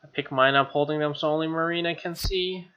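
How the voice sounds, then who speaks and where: A man's voice: on the high side, fairly even intonation, fairly quick, smooth. A man hosting a gaming video, reading a visual novel aloud with casual, snarky and profane asides. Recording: dull lo-fi mic over music. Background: game music with guitar.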